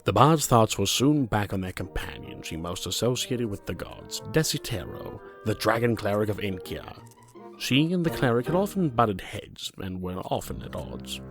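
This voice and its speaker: A man performs as a fantasy storyteller with a fairly low voice. He reads measured, scripted narration, with faint music underneath. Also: lively intonation. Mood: calm.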